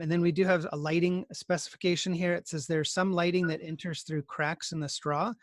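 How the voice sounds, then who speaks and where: A man's voice: on the high side, dry recording, studio quality. A man leading a script read over a video call, casually moderating and reading scene descriptions. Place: remote video call, close dry sound.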